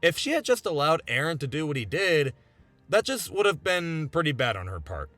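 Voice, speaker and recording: Animated, higher-pitched young man narrating. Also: normal speed, dry recording. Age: 20s. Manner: earnest, emphatic with rhetorical questions. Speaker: video essayist. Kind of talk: scripted video essay narration. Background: background music.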